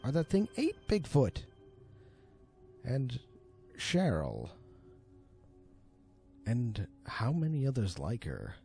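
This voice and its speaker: A man narrating a horror story in a theatrical, deliberate manner, as an amateur storyteller and voice actor. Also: mid-range voice, huge pitch swings, vocal fry, warm tone, faint music behind. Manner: theatrical, deliberate, swooping delivery.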